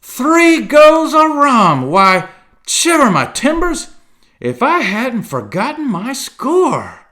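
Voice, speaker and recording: A man's voice: mid-range voice, sing-song, measured pace, a bit bright, pitched above the performer's own voice. A man reading aloud and voicing a cunning, one-legged pirate cook who blusters in salty sea talk. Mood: excited.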